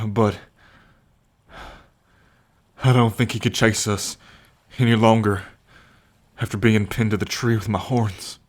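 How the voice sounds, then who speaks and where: Casting: male actor as a devoted bull man with a violent past, unhurried and halting, tender and earnest, for listener-directed ASMR roleplay. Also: on the low side, close to an everyday voice. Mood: grave resolve.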